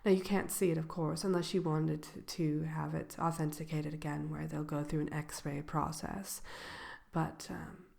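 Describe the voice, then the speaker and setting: A woman playing a polite, slyly malicious wicked witch selling brooms in a soft, hesitant, unhurried ASMR roleplay. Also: deep voice, level tone, creaky. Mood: genteel, unhurried. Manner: hesitant, unhurried, genteel shopkeeper patter. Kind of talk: ASMR roleplay, soft-spoken and partly whispered.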